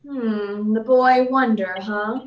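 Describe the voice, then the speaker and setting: A fairly high female voice from an actress playing a brash young reporter in an old radio serial. She is sardonic and needling, with clear room echo around a slightly distant voice. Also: fairly even intonation, slow pace, highs cut off. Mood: sarcastic, skeptical. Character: brash, sarcastic young woman newspaper reporter. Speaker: actor in a live radio play. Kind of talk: 1940s radio serial acting. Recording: roomy, echoing, off the mic.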